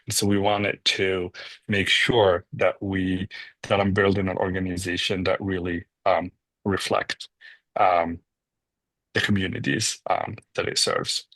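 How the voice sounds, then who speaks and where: A man from Morocco, a nonprofit founder, answering interview questions in a reflective way, often pausing on "um" and checking in with "right?". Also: low voice, level tone, a bit bright, dry recording, rough recording.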